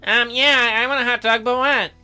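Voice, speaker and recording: Man putting on a stammering, high-pitched teenage boy. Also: fairly even intonation, normal speed, bright, forceful tone, clean tone, soft background music, exaggerated voice. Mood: flustered. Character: jaded, flustered teenage high school boy.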